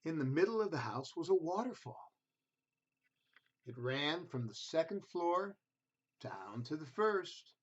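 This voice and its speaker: Male storybook reader for children: expressive, deliberate delivery that addresses the listener, on a slightly muffled low-fi recording. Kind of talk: children's read-aloud storytelling.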